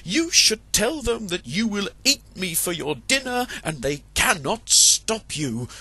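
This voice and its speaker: A man's voice: high voice, very animated, a little slow, sharp tone, slightly rough, dry recording, voice pushed up, full character voice. A man voicing a proud, vain male rooster who speaks in a bold, raised voice.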